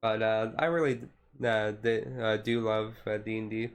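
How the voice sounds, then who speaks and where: A man chatting casually on a relaxed livestream in a rambling, easygoing way. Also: on the low side, normal speed, clear, resonant tone, soft background music. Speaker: streamer, tabletop game master. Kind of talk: casual chat on a relaxed ASMR-style livestream.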